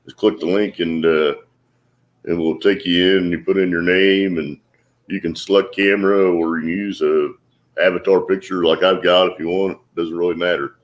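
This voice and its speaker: Deep-voiced man hosting a livestream. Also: fairly even intonation, moderate pace, dull audio. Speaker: livestream host. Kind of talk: casual livestream chat.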